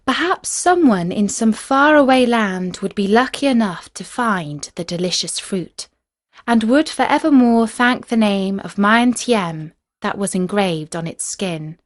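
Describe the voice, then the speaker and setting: A woman narrating a folk tale as a storyteller, reading from a script in a measured, expressive storybook manner. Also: mid-range voice.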